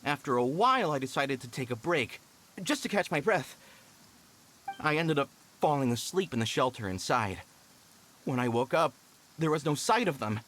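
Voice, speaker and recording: A man's voice: medium pitch, lively intonation, moderate pace, pauses often, a bit bright, a little hiss or hum, lightly acted, low-quality recording. A man voicing a frightened miner in a video game, nervous and pleading. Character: frightened working-class male miner.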